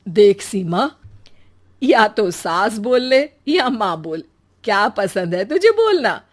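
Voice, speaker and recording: A woman's voice: mid-range voice, big pitch swings, slow pace. A woman voicing a kindly, teasing elderly mother-in-law who speaks in a plain, motherly way in a family story. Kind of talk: acted Hindi family moral story.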